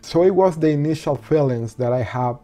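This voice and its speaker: Venezuelan man with a mellow voice. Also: on the high side, faint music behind. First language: Spanish. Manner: halting non-native English with many ums and restarts. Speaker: interviewee. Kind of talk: personal story interview.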